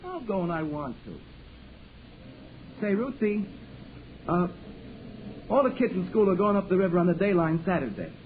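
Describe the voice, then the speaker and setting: A man's voice: high-pitched, lively intonation, normal speed, long pauses, slight background noise. An actor playing a swaggering, mocking teenage boy in a 1940s radio drama, heard over a tinny old broadcast. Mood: cocky. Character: cocky teasing teenage boy.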